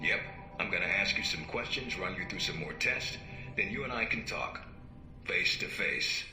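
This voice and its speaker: Man acting as a stern spy boss, very low voice, measured, wry, video game cutscene, roomy sound, music behind. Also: close to an everyday voice. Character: stern, dry-witted middle-aged male spy agency handler.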